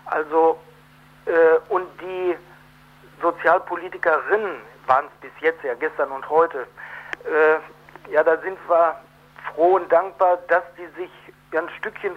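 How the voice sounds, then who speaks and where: Higher-voiced man on a muffled phone line. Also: steady tone, a little slow. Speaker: activist guest from an unemployed group. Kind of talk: live phone radio interview. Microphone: telephone. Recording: muffled, dull telephone line.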